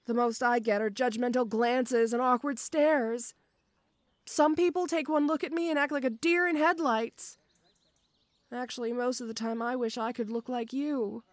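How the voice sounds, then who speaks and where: Woman playing a high-voiced shy tomboy orc girl. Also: fairly even intonation, normal speed, no echo, studio quality, natural voice. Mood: wistful. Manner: hesitant, stammering, bashful. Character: shy, bashful tomboy orc schoolgirl, strong but gentle. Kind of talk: intimate audio roleplay.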